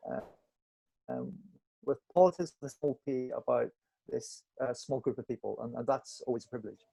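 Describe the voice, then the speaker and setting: A man, a film director, speaking casually and haltingly as a panel guest. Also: medium pitch, dark tone, no room sound, low-quality recording. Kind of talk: casual discussion.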